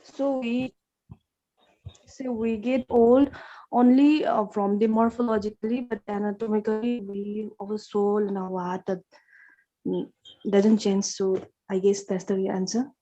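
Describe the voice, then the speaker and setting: A woman taking part in an online class gives a halting, hesitant answer with restarts. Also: mid-range voice, steady tone, mellow. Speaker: class participant. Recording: muffled lo-fi online call audio.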